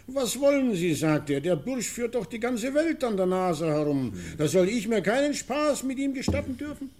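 A man's voice: extremely high pitch, very animated, moderate pace, a little mellow, heavily acted. A man playing a concerned, officious mayor in a radio play, speaking formally and declaratively.

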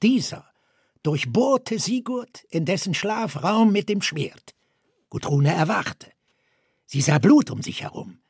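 A man with a strikingly husky, frayed voice pitched a little high reads literary narration. The sound is dry, like a studio radio reading. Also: lively intonation, takes it slowly, darkish tone, dry recording.

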